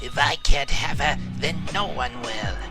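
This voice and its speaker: A man playing a vicious, jealous assassin who speaks in a loud, threatening way in a fan voice comic. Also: on the high side, lively intonation, measured pace. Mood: enraged, menacing. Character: insanely jealous, vicious male assassin rival. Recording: music under the voice.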